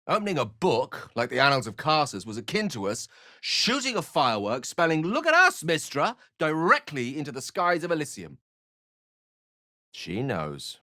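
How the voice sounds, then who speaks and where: A man's voice: a little high, wildly swooping pitch, moderate pace, bright, forceful tone, dry recording, studio quality, full character voice. A man playing an eloquent, bookish video game wizard, wry, proud and courteous, with an articulate, theatrical and refined delivery. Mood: reflective. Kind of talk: video game character acting.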